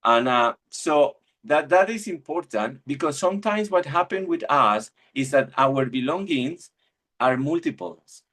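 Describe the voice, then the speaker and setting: A man's voice: on the high side, animated, unhurried, a bit bright. Man giving a webinar talk. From Argentina. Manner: reflective, searching for words, restarts phrases. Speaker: webinar speaker. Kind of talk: presenting a church webinar talk. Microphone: video call.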